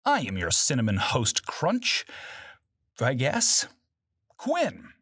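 A man's voice: mid-range voice, wildly swooping pitch, slow, fairly bright tone, slightly rough, no room sound, studio quality. A man hosting a podcast, reading a scripted promo intro in a playful, mock-grand manner. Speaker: podcast host.

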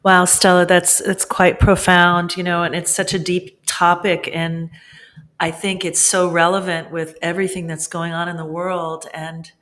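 A woman hosting an interview, gently prompting her guest and talking in a relaxed, encouraging way. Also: on the low side, unhurried. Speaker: host. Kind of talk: casual interview talk.

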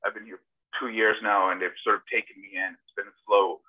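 Phone-like, lowish-voiced man on a call. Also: level tone, fairly quick, husky. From born in Serbia, grew up partly in Toronto, Canada. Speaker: screenwriter, podcast guest. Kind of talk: casual anecdotal interview answers. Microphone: video call. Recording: thin, phone-like internet call audio.

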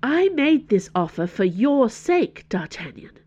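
An imperious older female cardinal, as a woman narrator puts her on for an audiobook. She speaks slowly and haughtily, with wide swoops of pitch and silky, sarcastic menace. The recording is slightly dull. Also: big pitch swings, no echo. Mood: chilly threat. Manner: slow, haughty, sarcastic delivery. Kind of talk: audiobook character voice.

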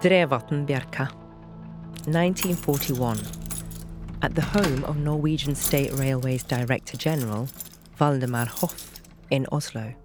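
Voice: medium pitch, measured pace, music in the background. A narrator reading scripted, documentary-style historical narration for an audio drama.

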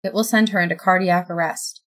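Lady playing death, super flat and solemn, kinda low and quiet. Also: a little fast, lightly acted. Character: Death, ageless solemn being, flat and gentle.

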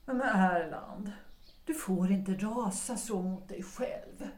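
A woman's voice: medium pitch, natural voice. An actress playing an earnest, devoted woman in a period audio drama, speaking with emotional, pleading concern for a reckless lover. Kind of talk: period stage-play audio drama acting in Swedish. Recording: roomy, echoing sound.

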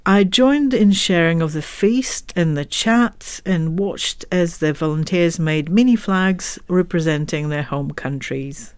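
Calm woman narrator, scripted voice-over, very dry. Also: fairly low pitch, measured pace, few pauses, clear, resonant tone, no echo. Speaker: interviewer and narrator.

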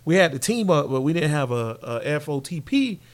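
Lively Jacksonville man on a sports podcast. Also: fairly high pitch, fairly quick. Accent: Jacksonville, Florida. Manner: laughing, playful, quotes soundbites loudly. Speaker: podcast co-host. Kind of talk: casual sports chat.

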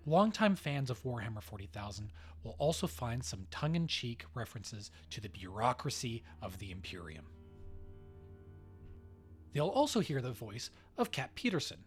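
A man's voice: medium pitch, big pitch swings, normal speed. Male podcast host over background music. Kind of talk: scripted podcast announcements.

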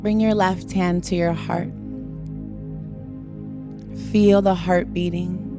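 Slow soothing woman meditation guide. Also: on the low side, steady tone. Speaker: meditation leader. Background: new-age music.

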